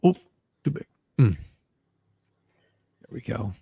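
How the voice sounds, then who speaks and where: A man's voice: mid-range voice, very animated, takes it slowly, vocal fry, warm tone, breathy edge. A man hosting, in casual, off-the-cuff chat. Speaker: host.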